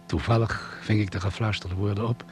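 Low-voiced, measured actor as doctor and leader. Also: male voice, fairly even intonation, darkish tone, soft background music, lightly acted. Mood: measured, concerned. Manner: measured, precise, formal. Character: composed male doctor leading jungle crash survivors. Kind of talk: radio drama acting, report-like account.

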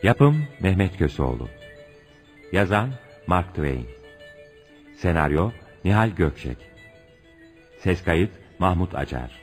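A man's voice: low-pitched, big pitch swings, slow pace, long pauses, faint music behind. A man reading the credits of a radio play as a formal scripted announcement.

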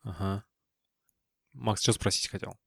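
A man's voice: on the low side, fairly even intonation, unhurried, vocal fry, bright, forceful tone, slightly rough, dry recording, studio quality. A man hosting a casual technical discussion, asking questions in an informal, inquisitive way. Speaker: podcast host asking questions.

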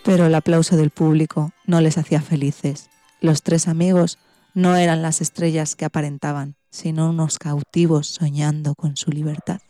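A woman's voice: low voice, flat delivery, normal speed, dark tone, no room sound, soft background music. A woman with an accent from Spain, hosting and narrating a children's story from a script. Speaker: podcast host and storyteller.

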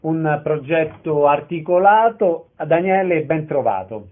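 A man's voice: a little high, lively intonation, slow pace. A man hosting a radio interview, putting questions and reading out show details, with a narrow phone-like sound. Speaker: radio host.

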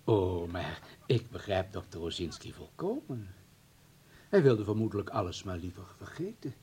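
Husky, insinuating party official. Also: male voice, low voice, big pitch swings, normal speed, warm tone, exaggerated voice. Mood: falsely conciliatory. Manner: hesitant mock politeness, veiled threats. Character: insinuating male political official, smooth-mannered and menacing. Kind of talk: radio play acting.

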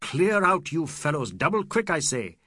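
A man doing a fiery, shouting young Indian man. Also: a little high, very animated, husky, dry recording, clean studio sound, pitched above the performer's own voice, full character voice. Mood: furious. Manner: shouting, impassioned, quick rising outbursts.

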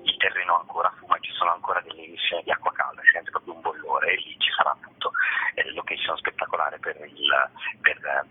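A man's voice: extremely low pitch, slightly rough. A man speaking as a festival director in a radio phone interview, hesitant and often repeating himself as he searches for words. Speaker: festival artistic director, guest. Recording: muffled phone line on radio.

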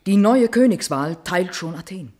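Husky, solemn royal attendant. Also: female voice, lowish voice, expressive, moderate pace, dark tone. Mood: urgent reporting. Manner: formal, grave declamation. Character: solemn female attendant bearing bad news to queen. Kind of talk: classical verse tragedy radio play.

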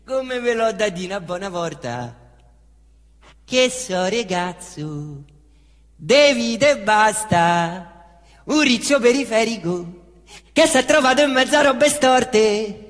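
An actor playing a cocky young male Roman slum youth and killer, with swaggering street slang and a Roman accent, swinging between defiant outbursts and low muttering. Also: animated, takes it slowly, fairly bright tone, long reverb tail, faint music behind, full character voice. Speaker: stage actor in a monologue. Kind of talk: theatrical monologue. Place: theatre stage.

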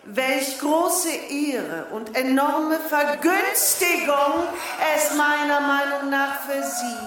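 A woman playing a pompous, condescending lady dignitary who gives a halting, grandiose ceremonial speech in a radio play. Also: high voice, fairly bright tone. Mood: gushing, condescending. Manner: pompous, halting, grandiose, faltering and repeating herself. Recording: echoing public-address hall sound with crowd.

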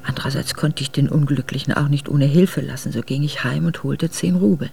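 An actress playing an earnest, reflective exiled noblewoman, narrating her memoir in a measured way for a radio drama. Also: low voice, fairly even intonation, creaky, warm tone, slight background noise. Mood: grave, reflective. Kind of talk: literary first-person radio drama narration.

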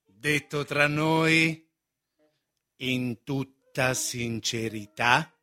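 An actor in a radio drama playing a garrulous, sly, self-important court painter, speaking in a theatrical, emphatic and insinuating way. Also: male voice, medium pitch, drawn-out words, bright, forceful tone. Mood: knowing, probing.

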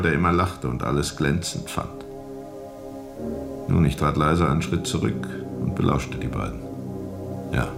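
An actor playing a grave, seasoned sea captain who recounts a jungle river journey in a deliberate, brooding way for a radio drama. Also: male voice, rumbling low, vocal fry, heavily acted. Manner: deliberate, brooding, measured storytelling. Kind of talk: radio drama narration, recounting under questioning.

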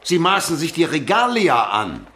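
An actor playing a blustering, imperious medieval emperor in a radio drama, indignant and booming. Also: male voice, huge pitch swings, moderate pace, bright, forceful tone, a little hiss or hum, full character voice. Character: hot-tempered, blustering medieval German emperor.

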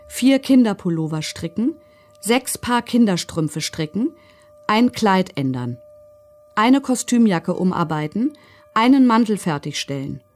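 A woman's voice: mid-range voice, close to an everyday voice. An actress playing a woman who reads out a written order in a formal, clipped, list-like way for a documentary radio drama.

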